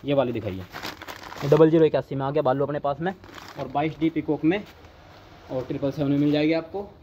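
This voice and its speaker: Young male vlogger, high-pitched, pushy salesman patter, handheld phone in a big warehouse, faint background hum. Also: fairly quick, dull audio. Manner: eager salesman patter, repeatedly urging viewers to look. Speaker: vlogger showing wholesale clothing stock. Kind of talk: sales walkthrough vlog. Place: large indoor warehouse full of clothing bales.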